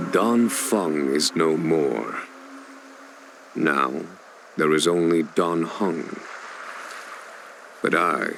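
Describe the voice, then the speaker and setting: An actor playing a grave, commanding general who speaks in solemn, formal sentences. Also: male voice, low voice, gravelly, a little hiss or hum, heavily acted. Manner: solemn, measured, formal speech. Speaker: military general of a starship. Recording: game dialogue over loud music.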